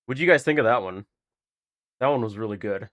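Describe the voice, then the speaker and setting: Low-voiced man reacting casually. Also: expressive, brisk, a bit bright. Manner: informal, musing, mild swearing. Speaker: reaction video host.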